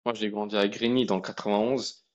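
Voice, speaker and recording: A young man from India, a guest telling his story in a relaxed, colloquial way with many fillers and restarts. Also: lowish voice, a little fast, highs cut off. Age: young adult. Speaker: guest, AI researcher. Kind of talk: casual conversation.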